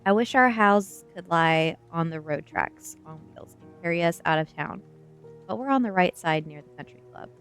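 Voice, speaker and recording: A woman streamer with a soft-spoken, informal manner, reading aloud for a live audience in a casual ASMR style. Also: fairly low pitch, expressive, normal speed, smooth, soft background music. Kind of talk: reading a novel aloud on a livestream, with chat asides.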